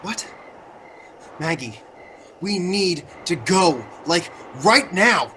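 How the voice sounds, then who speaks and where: Tense young male horror character, played by an actor, urgent, high-pitched, swinging widely in pitch. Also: big pitch swings, very slow, bright, forceful tone, slight background noise, full character voice. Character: tense, rattled young man in horror drama. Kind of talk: dramatic voice acting.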